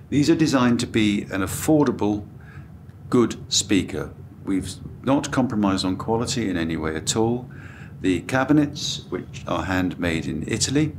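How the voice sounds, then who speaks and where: Low-voiced older British man presenting. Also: slight background noise. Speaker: company director presenting products. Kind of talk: informative product walkthrough. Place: hotel room at an audio show.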